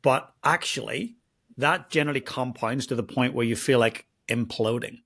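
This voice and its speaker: A man's voice: medium pitch, moderate pace, creaky, a bit bright. A man from Northern Ireland with a Northern Irish accent, hosting an interview and affirming his guest earnestly. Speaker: podcast host. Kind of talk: warm interview conversation.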